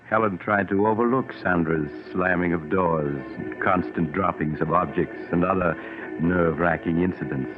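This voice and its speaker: A man narrating a 1940s radio drama as a measured, ominous storyteller. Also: sits low, narrow, tinny audio, natural voice. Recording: thin, band-limited 1940s radio recording. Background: music.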